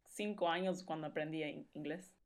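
A young woman with a fairly low voice, chatting as a podcast guest. She wanders through her story with plenty of fillers and restarts. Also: normal speed. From Mexico. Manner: rambling, many fillers. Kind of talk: casual conversational storytelling.

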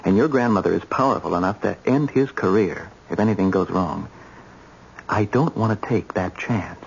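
Gravelly, plain-spoken doctor in radio drama. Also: male voice, sits low, normal speed, a little hiss or hum. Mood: earnest, uneasy. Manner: hesitant, plain-spoken, wry. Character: earnest young hospital surgeon, informal and persuasive. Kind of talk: old-time radio drama acting. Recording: muffled old radio recording.

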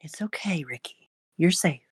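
A woman voicing a caring mother who speaks in a soothing, hushed way. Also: on the low side, moderate pace, dry recording, studio quality, pitched above the performer's own voice, close to an everyday voice. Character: caring mother, gentle, fierce when angered.

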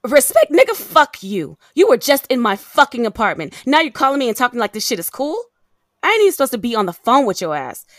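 An actress plays a fed-up, defiant American woman in an audio drama. Her mid-pitched voice is highly animated, swinging widely with blunt emphasis. The sound is close and dry. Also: normal speed, pauses often, dry recording. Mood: furious, defiant. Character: fed-up, fiercely defiant young woman facing abusive ex.

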